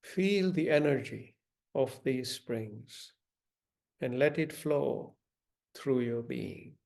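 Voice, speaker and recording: A man leading a guided meditation in a gentle, deliberate manner, giving instructions with long pauses. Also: medium pitch, creaky.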